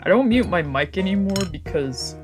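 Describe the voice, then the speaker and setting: A woman's voice: mid-range voice, expressive, moderate pace, over music. A woman streamer rambling casually and playfully as she gets ready to play a game, with informal, sweary, self-deprecating talk. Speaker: VTuber streamer playing a visual novel. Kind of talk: casual rambling livestream chat. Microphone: old, cheap microphone.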